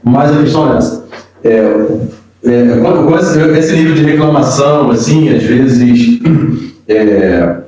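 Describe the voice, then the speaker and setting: A man's voice: medium pitch, unhurried, dark tone. Brazilian man hosting, in an echoey room. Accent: Brazilian Portuguese. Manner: chatty, storytelling, informal. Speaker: podcast host. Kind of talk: casual interview chat. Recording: echoey room, distant mic.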